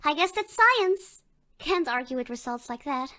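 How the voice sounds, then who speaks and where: A very high, girlish voice for a bubbly digital pop idol in a video game. She is perky and cute, playing up each line with theatrical sweetness. The character is a cheerful synthetic starlet who loves to perform. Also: animated, unhurried, sharp tone, heavily acted. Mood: warm, affectionate.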